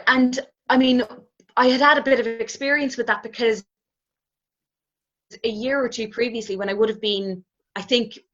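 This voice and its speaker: A woman in her twenties with an Irish accent, a guest telling her story in a relaxed, reflective way and often hedging with "kind of". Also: medium pitch. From Ireland. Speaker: interview guest. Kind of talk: casual conversational storytelling. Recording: slightly dull, muffled audio.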